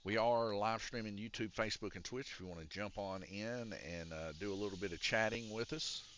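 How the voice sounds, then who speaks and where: A man with a raspy, congested voice casually announcing an RC race at an indoor raceway, rambling through rules with lots of "uh" fillers. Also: lowish voice, a little fast, fairly bright tone, muffled recording, slight background noise. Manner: rambling and explanatory, with many "uh" fillers. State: congested from a chest cold. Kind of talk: casual live-stream commentary. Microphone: wired or wireless handheld mic.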